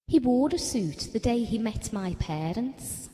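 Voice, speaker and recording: An adoring, wistful working-class wife in an audio drama. Her voice is mellow and fairly high, and she confides in plain colloquial speech. A long reverberant hall sound surrounds her. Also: lively intonation, unhurried. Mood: fond, wistful.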